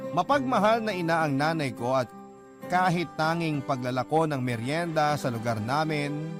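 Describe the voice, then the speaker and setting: A man hosting a radio drama, reading a listener's letter in earnest, measured storytelling. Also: on the high side. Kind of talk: scripted dramatic narration. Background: music.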